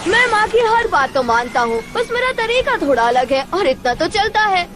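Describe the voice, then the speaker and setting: A woman voicing a cocky, mischievous young boy in an animated film dub, chatty, boastful and excitable, with music behind. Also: extremely high pitch, normal speed, full character voice. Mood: defensive.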